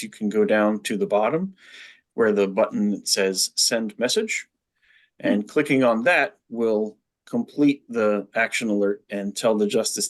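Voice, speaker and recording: A man presenting a training webinar, explaining software step by step in a methodical way. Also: on the low side.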